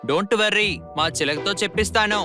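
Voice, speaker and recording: A man's voice: high voice, moderate pace, music in the background, close to an everyday voice. Man doing a goofy, naive cartoon clown. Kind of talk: cartoon dub acting.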